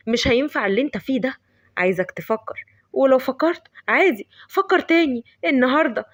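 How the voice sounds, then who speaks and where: A woman voicing a hurried, impatient young man with an Egyptian Arabic accent who scolds in a bossy way. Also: a little high, dry recording, clean studio sound, natural voice.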